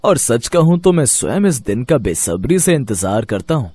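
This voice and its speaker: A man voicing a benevolent, affectionate god and loving brother, with stately, formal speech, in an animated mythological story. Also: mid-range voice, normal speed, slightly rough.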